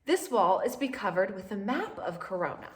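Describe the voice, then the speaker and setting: A woman voicing a kindly, proper royal governess in a children's storybook, measured and reassuring. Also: medium pitch, big pitch swings, slight background noise, close to an everyday voice. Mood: firm.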